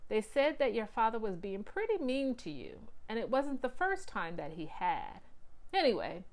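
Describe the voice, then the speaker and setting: Brief: a woman doing an eager storybook boy, a bit higher than her own voice, chatty. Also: sing-song, moderate pace. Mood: earnest. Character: boy ballplayer, cheerful and chatty.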